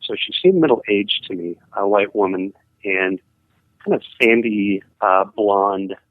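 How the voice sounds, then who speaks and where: A man tells his story down a telephone line. He talks in a halting, rambling way and hedges often. His voice sits a little low and sounds dry and tinny. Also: no echo. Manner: halting, rambling, full of hedging fillers. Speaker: caller sharing a ghost story. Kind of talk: casual storytelling.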